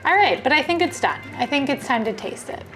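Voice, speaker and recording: A woman's voice: sits high, expressive, a little fast, music in the background. A woman hosting a cooking show, chatting casually and exclaiming as she cooks and tastes in a kitchen. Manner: exclamatory, conversational. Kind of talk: casual food vlog chat. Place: indoor kitchen.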